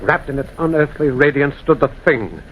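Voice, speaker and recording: A tense, grave storyteller of a ghostly encounter , acted by a man. He speaks deliberately and dramatically with animated pitch, in old radio drama style on a dull, faintly noisy recording. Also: lightly acted. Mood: grave, suspenseful. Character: grave male traveler recounting a ghostly encounter. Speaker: narrator and protagonist.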